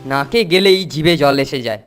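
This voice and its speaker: An actor voicing an excitable, cheeky young schoolboy in a children's cartoon, exclamatory and expressive, with loud music behind. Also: mid-range voice, moderate pace, close to an everyday voice. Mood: cheerful, eager. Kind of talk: Bengali children's cartoon dubbing.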